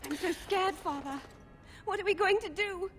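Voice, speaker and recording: A woman's voice: very high voice, moderate pace, a bit bright, a little hiss or hum. An actress playing a frightened, grieving young village girl in a fantasy game, tearful and crying. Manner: tearful, pleading. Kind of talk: fantasy video game dialogue.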